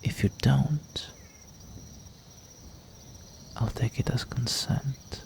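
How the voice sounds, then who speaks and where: Man acting as seductive ancient vampire gentleman, very slow, even low-key delivery, breathy, courtly, teasing, intimate audio drama, faint night noise behind. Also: heavily acted. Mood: teasing, predatory. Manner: courtly, flirtatious, teasing, breathy with drops into whisper.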